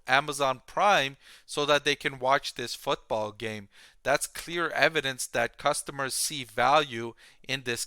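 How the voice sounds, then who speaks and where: A man hosting a stock analysis, explaining financial results to viewers plainly and deliberately. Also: medium pitch, sharp tone, clear, resonant tone, no room sound, clean studio sound.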